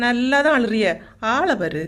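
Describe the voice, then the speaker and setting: A woman playing a touchy, sarcastic young wife in a cartoon comedy, quick to retort, with a Kanyakumari Tamil accent. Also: a little high, big pitch swings, a bit bright. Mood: indignant.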